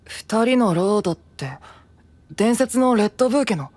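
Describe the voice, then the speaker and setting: A man's voice: high voice, animated, moderate pace, darkish tone, dry recording. A man playing a cool, guarded, perceptive young knight in an anime-style voice drama, blunt and detached. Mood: calm, guarded.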